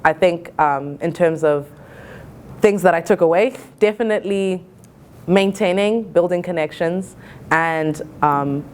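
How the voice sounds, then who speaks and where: Casting: female college student, early twenties, low register, earnest and reflective delivery. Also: measured pace. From Zimbabwe. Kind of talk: reflective interview-style talk.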